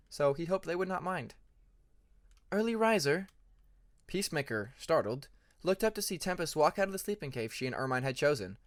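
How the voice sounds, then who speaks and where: A man narrates a story from a script with earnest drama. His voice is a little higher than average for a man. It is recorded close and very dry, with no room sound. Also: a bit bright, dry recording. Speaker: audiobook narrator.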